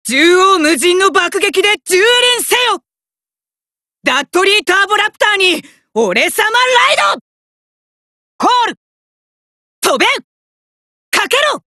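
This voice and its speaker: An actor voicing a cocky, hot-blooded young male fighter in an anime card game, rough-talking and arrogant, with emphatic battle cries. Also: very high-pitched, bright, forceful tone, dry recording, clean studio sound, heavily acted.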